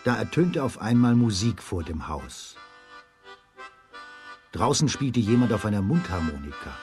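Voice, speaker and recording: A man narrating a children's radio play as a scripted storyteller, with a husky voice. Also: on the low side, big pitch swings, unhurried, darkish tone, soft background music.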